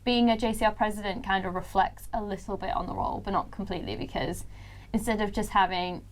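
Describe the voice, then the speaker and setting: A woman's voice: mid-range voice, quick pace. A young Welsh woman in her early twenties, a student leader being interviewed, talking in a chatty way with a big voice and frequent laughs. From Wales. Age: young adult. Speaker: student union president-elect. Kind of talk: casual studio interview.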